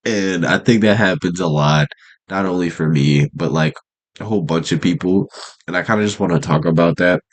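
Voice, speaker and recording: A man's voice: deep voice, moderate pace. A young man with a congested, stuffy nose, rambling in laid-back slang with lots of fillers as he talks unscripted to a camera. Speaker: vlogger. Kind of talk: unscripted casual monologue to camera. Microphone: camera mic.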